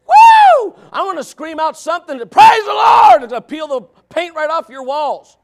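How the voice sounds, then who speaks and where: A male pastor delivering a fiery revival sermon in a strikingly high voice for a man. He shouts his big lines and throws in quick jokes at the congregation between them. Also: huge pitch swings, some chatter behind. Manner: loud, shouting, with joking asides to the congregation.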